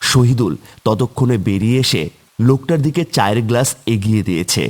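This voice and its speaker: A man's voice: mid-range voice, a little fast, a little mellow. A man narrating a scripted horror tale as a storyteller for a cartoon.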